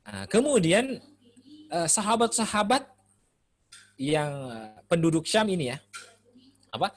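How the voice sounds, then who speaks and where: A man giving an Islamic studies lecture in a relaxed, conversational way, often pausing with "eh" while he thinks. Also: high voice. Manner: relaxed, conversational, frequent "eh" pauses. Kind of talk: classroom lecture.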